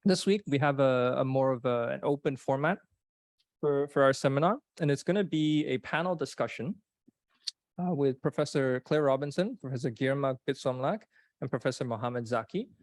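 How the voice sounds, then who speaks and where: A man's voice: mid-range voice, normal speed, no room sound. A young man moderating a seminar panel, introducing it hesitantly and often restarting his phrases.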